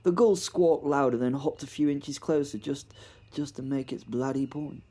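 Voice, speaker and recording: A man plays a plucky pilot from the Great War, proud of his moustache. He narrates in a flustered, self-mocking stammer. His voice is warm and mellow at a middle pitch. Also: moderate pace, slightly rough, lightly acted. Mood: wry. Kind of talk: comic first-person audio drama narration.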